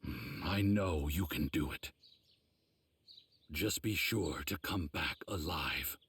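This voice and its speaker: A gruff, deep-voiced old sword master, stern yet caring. The voice is heavily husky and measured, as an anime game mentor would speak. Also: male voice, fairly bright tone, heavily acted. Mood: solemn, caring.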